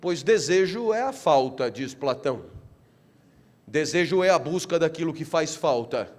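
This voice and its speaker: A middle-aged man with a Brazilian accent gives a motivational philosophy lecture in a fairly high voice. His delivery is theatrical, full of rhetorical questions and repetition. Slow, deliberate phrases climb into loud, animated peaks. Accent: Brazilian Portuguese.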